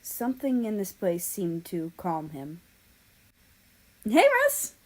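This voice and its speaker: A woman narrating fan fiction as an amateur audiobook reader, in a soft voice. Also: on the low side, lively intonation, measured pace, low-quality recording. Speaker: story reader.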